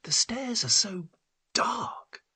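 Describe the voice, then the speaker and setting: A man's voice: high voice, expressive, drawn-out words, pushed and bright, husky, no echo, voice pushed up, heavily acted. A man voicing an agitated, demanding woman in a literary story, with an urgent, complaining manner. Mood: confused, complaining.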